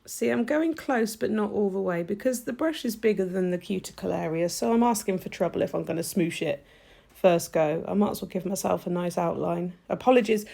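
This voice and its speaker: A woman's voice: mid-range voice, brisk, creaky, dark tone. Chatty woman, stuffy nasal voice. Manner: chatty, gushing, talks while working. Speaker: nail technician demonstrating gel polish. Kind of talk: chatty tutorial voice-over. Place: home nail studio.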